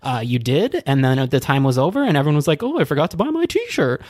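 A man's voice: on the high side, sing-song, fast, no echo, studio quality. A man co-hosting a podcast in a playful, casual chat, laughing easily. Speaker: podcast co-host.

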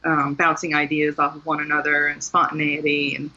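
A woman's voice: low voice, completely flat delivery, fairly quick, pushed and bright, highs cut off. Candid, laughing woman author guest. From Maryland, USA. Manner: candid and self-deprecating, laughs often. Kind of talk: casual interview conversation.

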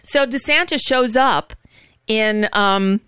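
A woman's voice: on the high side, slow pace, bright, forceful tone, clear, resonant tone, no room sound, studio quality. A woman hosting a live show with informal political commentary, speaking in a blunt, sardonic, rambling way. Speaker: talk show host.

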